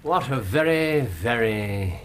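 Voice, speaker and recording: An actor playing a shrewd, coolly amused gentleman detective in a radio drama, speaking in a measured, probing way. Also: mid-range voice, animated, a little hiss or hum. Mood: intrigued.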